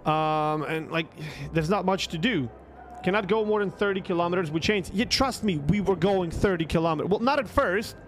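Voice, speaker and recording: High-pitched young Croatian man telling stories. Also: moderate pace. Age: young adult. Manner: emphatic, sweary, repeats words for emphasis. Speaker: streamer. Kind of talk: casual storytelling to a livestream chat. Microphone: close desktop microphone with headset.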